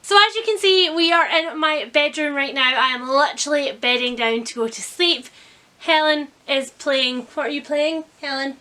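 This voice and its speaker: A woman vlogging at home in a casual, chatty, expressive and self-deprecating way about her reading. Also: high-pitched, moderate pace. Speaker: vlog host. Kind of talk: casual vlog chat about a reading marathon. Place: indoors at home.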